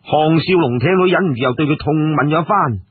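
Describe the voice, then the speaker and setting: A man with a Cantonese accent telling a story dramatically, expressive, with drawn-out phrases and colloquial interjections. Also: on the high side, husky, no room sound. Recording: narrow, radio-like band, dry.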